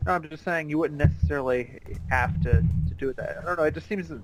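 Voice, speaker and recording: A man's voice: a little high, quick pace, noisy background. A man co-hosting a casual cartoon commentary, chatting in an informal, somewhat hesitant way. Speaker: commentary co-host.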